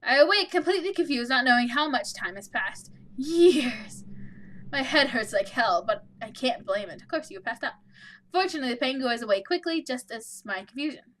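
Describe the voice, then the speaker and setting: A woman's voice: high-pitched, lively intonation, normal speed, fairly bright tone. A woman hosting a let's play, reading a visual novel aloud and adding wry, opinionated asides.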